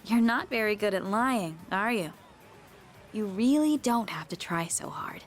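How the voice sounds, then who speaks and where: A woman's voice: mid-range voice, expressive, measured pace, lightly acted. Actress as a blunt, aloof young woman, music behind. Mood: dismissive. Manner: blunt, dismissive. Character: aloof, prickly young working woman on holiday. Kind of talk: English video game voice acting.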